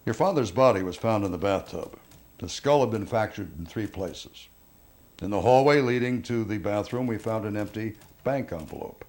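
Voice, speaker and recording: Male actor as film police interrogator: low voice, measured, pressing, insistent, naturalistic delivery. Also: a little mellow, close to an everyday voice. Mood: matter-of-fact. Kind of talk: naturalistic film drama acting.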